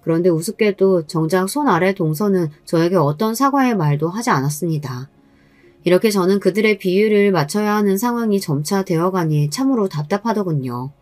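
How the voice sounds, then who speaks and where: A woman's voice: on the low side, expressive, brisk, darkish tone, dry recording. Dry-sounding woman narrating a story. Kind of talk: scripted first-person story narration.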